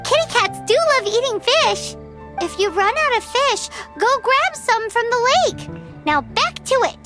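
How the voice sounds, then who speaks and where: A chirpy little fairy girl from a video game. The voice is childlike, squeaky and very high, with lively swings in pitch. She gives bossy, perky guidance over prominent music. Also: unhurried. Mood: helpful, chirpy. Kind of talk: video game character dialogue.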